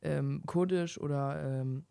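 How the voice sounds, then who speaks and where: A man's voice: on the high side, fairly even intonation, takes it slowly, vocal fry, warm tone, clear, resonant tone, no room sound, clean studio sound. A man interviewing on a podcast, putting a question conversationally.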